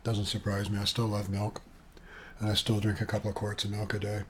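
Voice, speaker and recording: A soft-voiced Canadian man in his seventies, sitting at his computer at home and rambling through a personal video monologue with many asides. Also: fairly low pitch, monotone, fairly quick, slight background noise. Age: 70s. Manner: chatty, eccentric, wandering with asides and fillers. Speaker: vlogger. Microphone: webcam with external sound gear.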